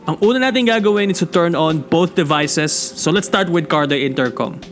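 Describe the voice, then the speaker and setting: High-pitched Filipino man, casual vlogger. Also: a little fast, soft background music. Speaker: motorcycle vlogger and host. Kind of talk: casual bilingual tutorial talk.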